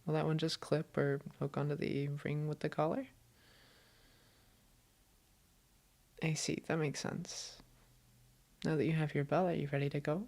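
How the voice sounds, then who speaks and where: A woman playing a doting, gently teasing girlfriend in an intimate roleplay audio, affectionate and reassuring. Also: sits low, level tone, brisk, gravelly. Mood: playful, fond.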